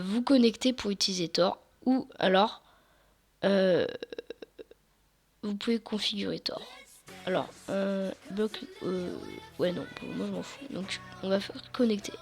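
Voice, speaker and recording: Hesitant, slightly hoarse teenage girl. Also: mid-range voice, measured pace, soft background music. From France. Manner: rambling, hesitant, many fillers and restarts. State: a bit hoarse. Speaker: tutorial YouTuber. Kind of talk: casual screen-recorded tutorial.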